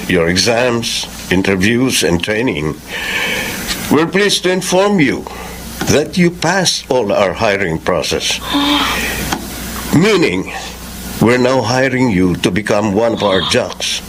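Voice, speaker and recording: A man's voice: fairly low pitch, big pitch swings, slow pace, noisy background. A man playing a formal, approving company boss in a Filipino radio drama, with a Filipino accent and switching between English and Tagalog. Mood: congratulatory.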